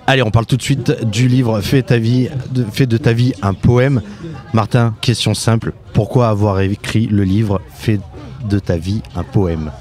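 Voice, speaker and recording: Guy hosting a podcast interview, lowish voice, chatty and casual. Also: fairly even intonation, measured pace, a little mellow, soft background music.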